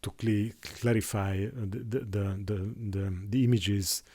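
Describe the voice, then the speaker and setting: A man whose first language is Hungarian, talking in an unscripted monologue on his channel, halting with many restarts and fillers. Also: on the low side, steady tone, dark tone. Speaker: YouTuber.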